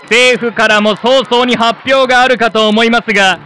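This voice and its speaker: An actor playing a formal, polished old-time television presenter addressing the nation in a radio drama. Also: male voice, extremely high pitch, steady tone, moderate pace, sharp tone, a faint crowd, a little hiss or hum. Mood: urgent. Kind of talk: broadcast announcing in radio drama.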